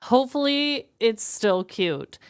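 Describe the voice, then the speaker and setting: A woman podcast co-host telling stories casually, expressive and candid, swearing freely. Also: on the high side, slow, clean tone, no echo, clean studio sound. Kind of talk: casual conversational storytelling.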